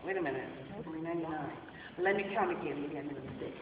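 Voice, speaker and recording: A woman's voice: low-pitched, rapid-fire, phone-quality sound, noisy background, voice pushed down, natural voice. A woman voicing a kind, caring male shepherd who calls his flock and frets over a missing sheep, heard from far off in an echoey hall. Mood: worried. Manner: calls out cheerfully, worries aloud when counting.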